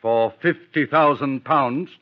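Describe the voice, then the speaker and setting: An actor playing a formal, measured insurance director in an old-time radio drama. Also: male voice, medium pitch, natural voice. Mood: businesslike. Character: businesslike male insurance company director. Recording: tinny old radio recording.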